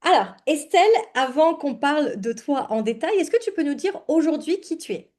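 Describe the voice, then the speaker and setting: A woman's voice: high-pitched, animated, moderate pace, darkish tone. A woman hosting a podcast in a casual, informal interview style, slangy and mixing in English words. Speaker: podcast host and money coach.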